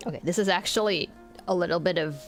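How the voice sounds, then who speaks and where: A woman's voice: on the low side, fast. A woman live streaming a game, chatting casually and informally with viewers. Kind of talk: casual game stream chat with reading aloud. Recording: game music behind the voice.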